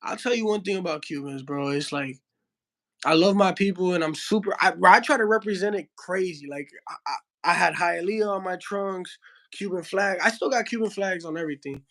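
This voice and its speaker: High-voiced young boxer, slangy and loose. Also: male voice, a little fast, fairly bright tone. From Miami, Florida. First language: Spanish. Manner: slangy, says bro a lot, frequent restarts. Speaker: heavyweight boxer. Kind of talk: casual interview.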